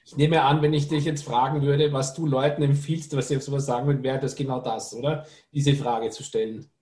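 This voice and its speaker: A man's voice: medium pitch, steady tone, fairly quick, darkish tone. Careful host, man, echoey room. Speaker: podcast host. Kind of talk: casual podcast interview.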